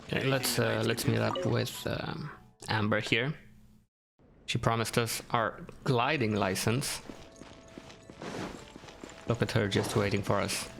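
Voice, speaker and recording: A man's voice: fairly low pitch, fairly even intonation, slow, gravelly, long pauses. A man giving laid-back, casual let's-play commentary with dry teasing asides, over game music. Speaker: gaming video host.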